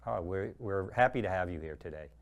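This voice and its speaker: Low-voiced man hosting a heritage show. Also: animated, brisk, creaky. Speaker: host. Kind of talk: scripted presentation and interview.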